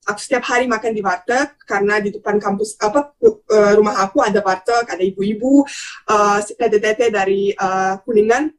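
A young woman from Bosnia, telling stories casually as a podcast guest, talkative and expressive, mixing in English words. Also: mid-range voice, normal speed. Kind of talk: casual conversational storytelling.

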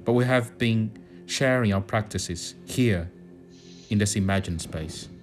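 A man from Brazil, a dance artist, narrating a calm guided walk with soft music behind. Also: on the low side, measured pace.

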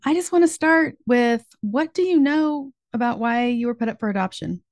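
A woman's voice: a little high, normal speed, dry recording, clean studio sound. A woman hosting her podcast, reading a friendly scripted introduction and then asking interview questions. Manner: friendly, scripted introductions, brief encouraging replies. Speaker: podcast host.

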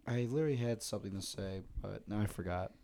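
A man's voice: on the low side, quick pace, creaky, a crowd in the background. A man co-hosting a sports podcast in casual chat, rambling and joking with frequent restarts. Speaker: podcast co-host.

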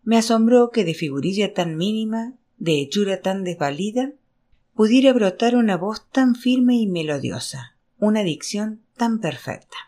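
A woman reading literary fiction aloud as scripted narration, in an expressive storytelling manner. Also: mid-range voice, moderate pace. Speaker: audiobook reader.

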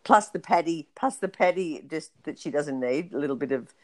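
A woman with a notably low voice talks through a recap in a relaxed, rambling manner, filling pauses with ums. The sound is close and dry. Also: no echo. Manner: rambling, lots of ums and asides. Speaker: podcast co-host. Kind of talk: casual recap chat.

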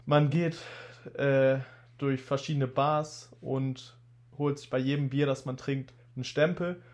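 A man's voice: mid-range voice, a little slow. Young man with a slight cold. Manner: relaxed storytelling, laid-back slang. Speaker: podcast co-host. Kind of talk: casual chat.

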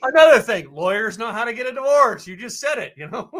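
A man's voice: extremely high pitch, sing-song, fairly quick, a bit bright. An American man hosting a casual conversation, who rambles with many fillers and restarts. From United States.

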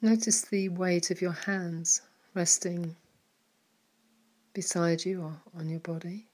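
Slow, soft-spoken woman guiding meditation. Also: lowish voice. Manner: soft and calm, some words trailing into a whisper. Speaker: meditation guide. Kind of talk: guided meditation.

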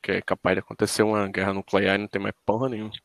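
A man's voice: lowish voice, flat delivery, very fast, gravelly, heavy background noise. A Brazilian man in casual chat, blunt and sarcastic, swearing freely.

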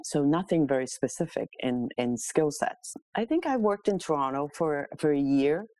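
A woman's voice: sits low, expressive, normal speed, mellow, no echo. A middle-aged woman from Hong Kong telling her own story casually and candidly as a podcast guest. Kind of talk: casual autobiographical interview talk.

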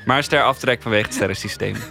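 A man's voice: lowish voice, quick pace, gravelly. A man with a Netherlands Dutch accent giving his opinions in casual podcast conversation. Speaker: podcast panelist. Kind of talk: casual chat. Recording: music bed behind the voice.

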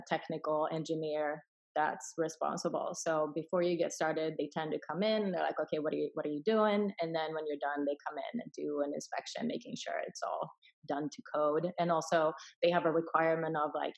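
A woman's voice: deep voice, vocal fry, poor audio. A woman from Sweden, born in Finland, who speaks English as a third language, explaining things casually as an interview guest. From Finland-born, raised in Sweden. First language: not English, which is a third language. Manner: informal, acts out quoted dialogue. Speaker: interview guest, property investor.

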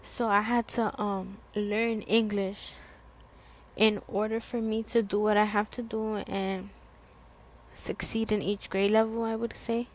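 Slow-spoken young woman, rambling. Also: mid-range voice, little pitch movement. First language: Spanish. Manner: rambling, many fillers and restarts. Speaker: student podcast host. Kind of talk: casual solo podcast talk.

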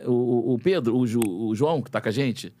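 A man's voice: medium pitch, a little fast, dark tone. A man hosting a casual podcast in a studio, chatty and filling his talk with tag questions. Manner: chatty, fills with "né?", laughs easily. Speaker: podcast host.

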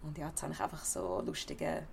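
A young woman with a Swiss German accent, an actress chatting casually as an interview guest, lively and laughing, sometimes dropping to a soft near-whisper. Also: sits low, a little fast, gravelly, slight background noise. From Switzerland.

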